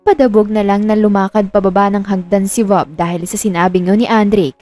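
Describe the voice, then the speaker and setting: A woman's voice: medium pitch, fairly even intonation, fairly quick, warm tone, soft background music. A woman storyteller reading a scripted romance story in narration.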